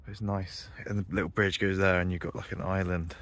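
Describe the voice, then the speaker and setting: A man's voice: low-pitched, little pitch movement, fairly quick, fairly bright tone. A man vlogging outdoors on his farm, chatting casually and thinking aloud as he walks. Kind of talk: casual outdoor vlog narration. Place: outdoors on a farm by a ditch and fields.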